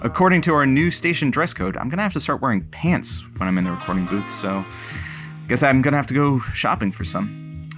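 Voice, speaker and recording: A man's voice: medium pitch, lively intonation, brisk, over music, close to an everyday voice. A man playing a melodramatic, fickle radio station sidekick, theatrical and grandiose, in a comedic radio drama. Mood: cheeky, casual.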